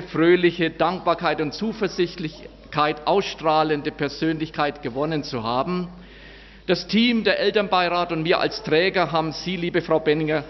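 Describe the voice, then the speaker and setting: A priest addresses his congregation in a slow, formal, measured way, his fairly high voice carrying through a large church with a long reverb. The sound is a bit dull, with faint room noise behind it. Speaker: parish priest.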